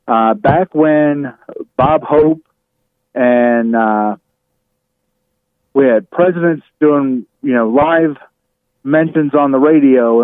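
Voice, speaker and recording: A man speaking over a narrow telephone line as a radio guest, chatting casually and haltingly as he thinks aloud. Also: mid-range voice, no room sound. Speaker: radio host, interview guest. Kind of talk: casual chat on a phone interview. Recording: tinny narrow phone line.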